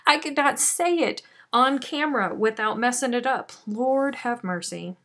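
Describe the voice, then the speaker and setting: A woman's voice: a little high, a little slow, fairly bright tone. A woman hosting a live crochet stream, chatting casually and self-deprecatingly as she reads out viewer comments.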